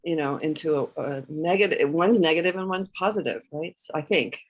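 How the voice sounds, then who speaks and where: A woman's voice: low-pitched, a little fast. A woman who grew up speaking Hebrew, asking a question casually on a video call, tentative and searching for words. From Israeli background. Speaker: class participant asking a question. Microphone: video call, camera off.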